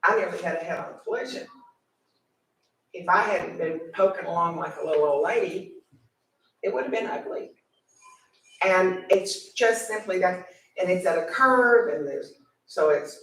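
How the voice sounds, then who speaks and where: A woman's voice: medium pitch, a bit bright. A woman speaking seated at a county meeting, chatty and rambling as she describes road problems. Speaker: resident speaking at public comment. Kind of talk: conversational public comment. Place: county commissioners court meeting room. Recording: echoey room from far off.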